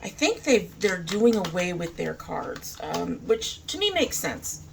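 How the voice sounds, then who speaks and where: Chatty woman with a creaky voice. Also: fairly low pitch, sing-song, takes it slowly, a little hiss or hum. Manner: informal, chatty, thinking aloud. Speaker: beauty vlogger, host. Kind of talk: casual makeup review, chatting to camera.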